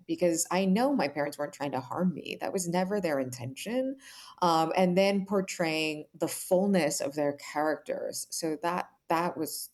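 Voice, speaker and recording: A woman's voice: on the low side, darkish tone. A woman giving earnest, reflective interview answers in a conversational way, often saying "like" and checking in with "right?". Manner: earnest, explanatory, frequent "like" and "right?". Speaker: author, interview guest.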